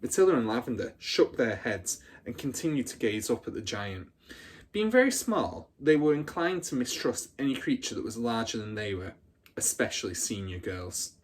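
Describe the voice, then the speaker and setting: A man with a slightly rough, husky voice at medium pitch reads a children's story aloud. His narration is expressive, made for young listeners. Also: normal speed. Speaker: storybook reader.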